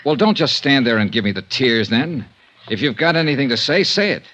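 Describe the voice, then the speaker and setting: A man's voice: mid-range voice, big pitch swings, fairly quick, bright, forceful tone. An American actor playing a blunt, world-weary male insurance investigator in a 1950s radio drama, both narrating and speaking in scenes. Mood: impatient. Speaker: lead actor and narrator of a radio detective serial. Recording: dull band-limited 1950s radio recording.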